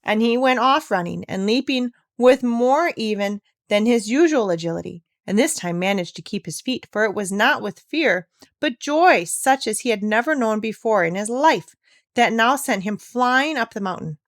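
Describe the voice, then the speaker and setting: A woman's voice: on the high side, expressive, moderate pace, dry recording, studio quality. A woman reading a classic children's story aloud as scripted narration. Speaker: audiobook reader.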